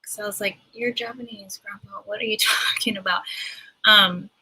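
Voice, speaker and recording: A woman from Hawaii sharing personal reflections as a panelist, halting and thoughtful as she searches for words. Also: mid-range voice, fairly even intonation, sharp tone, slightly rough, low-quality recording.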